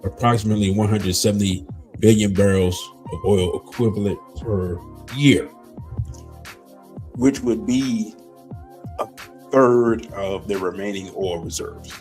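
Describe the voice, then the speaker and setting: Slow, halting man losing his voice. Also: on the low side, darkish tone, soft background music. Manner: slow, halting, with many ums and pauses. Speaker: livestream host. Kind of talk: casual commentary reading news aloud.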